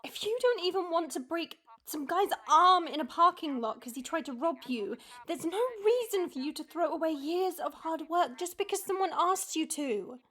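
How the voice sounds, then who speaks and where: High-voiced worried young wife, acted by a woman. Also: lively intonation, moderate pace, few pauses, a bit bright. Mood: worried, firm. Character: worried, stern yet teasing wife and young mother. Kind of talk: audio roleplay acting.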